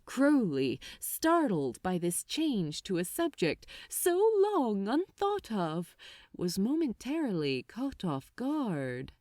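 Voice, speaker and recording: A woman narrating fiction from a script, expressive, deliberate and dramatic. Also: medium pitch, dry recording, studio quality.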